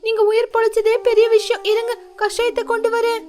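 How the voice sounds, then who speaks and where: A woman's voice: very high voice, completely flat delivery, a little fast, darkish tone. A woman voicing a kindly, comforting bird neighbour in a children's cartoon, earnest and reassuring. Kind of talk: children's moral story cartoon voice acting.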